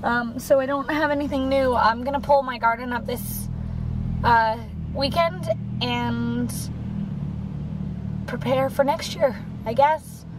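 A woman's voice: high-pitched, slow. Chatty young woman vlogging while driving. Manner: chatty, rambling with fillers. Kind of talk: casual vlog while driving. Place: inside a car at night. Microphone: camera on a dashboard mount. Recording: camera mic with road and car noise.

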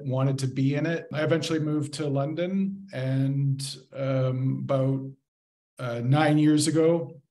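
Middle-aged male panelist, monotone delivery, halting, webcam sound. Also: mid-range voice. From Canada. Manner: hesitant, many fillers. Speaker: assistant editor, panelist. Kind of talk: casual panel introduction. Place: small home room. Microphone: webcam on a video call.

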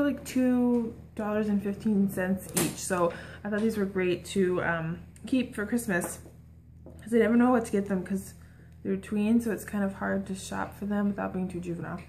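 A woman's voice: medium pitch, gravelly, a little hiss or hum. A young adult woman chatting casually and informally to the camera as a shopping vlogger. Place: small room at home, indoors.

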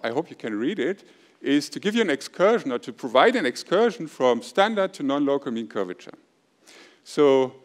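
Man lecturing loudly in a hall. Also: mid-range voice. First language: German. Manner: loud, measured, with hesitations. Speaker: plenary lecturer. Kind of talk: academic lecture. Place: large dark auditorium stage. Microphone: podium microphone.

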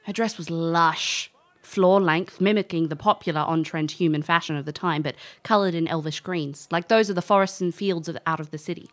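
Cheeky, lowish-voiced elf adventuress played by an actress. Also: female voice, normal speed, natural voice. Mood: storytelling. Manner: informal, brash. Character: cheeky, breezy female elf adventurer recalling her youth. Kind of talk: audio drama narration and dialogue.

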